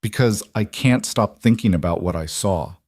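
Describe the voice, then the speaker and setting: A man's voice: on the low side, normal speed, a little mellow, husky, no echo, studio quality. A man voicing an intense mafia boss in an audio romance, direct and earnest, a little socially awkward. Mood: intense, probing.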